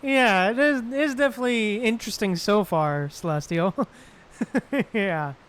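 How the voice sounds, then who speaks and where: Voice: mid-range voice, moderate pace, a bit bright. A streamer giving casual live reactions while playing a video game. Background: game music.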